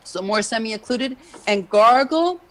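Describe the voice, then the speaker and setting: A woman's voice: a little high, lively intonation, measured pace. A woman, a jazz singer and vocal coach, talks through singing technique in casual chat, explaining at length and leaning on "you know".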